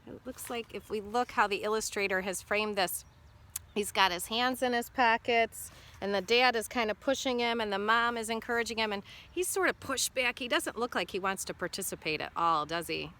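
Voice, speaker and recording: A woman's voice: medium pitch, normal speed, bright, forceful tone. A woman teacher reading a picture book aloud to children expressively, with instructive asides and questions to check in.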